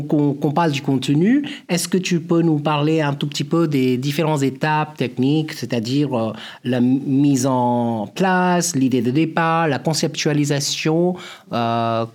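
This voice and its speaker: An interviewer with a mid-pitched voice puts a long question to a guest. The delivery is hesitant, with repeated fillers and restarts while phrasing it. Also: darkish tone.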